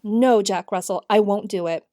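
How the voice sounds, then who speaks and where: A woman's voice: medium pitch, moderate pace, warm tone, dry recording, clean studio sound, lightly acted. An actress playing an anxious, caring young woman held captive, earnest and emotional, in a radio-style audio drama. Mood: worried, caring.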